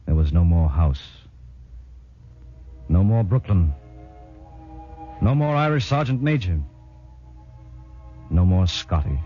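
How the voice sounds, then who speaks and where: An actor playing a sombre commando veteran, narrating in a grave, clipped, declamatory 1940s radio style. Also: male voice, low voice, lively intonation, slow pace, pauses often, faint music behind. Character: sombre commando veteran recounting a deadly raid. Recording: muffled, very noisy 1940s radio recording.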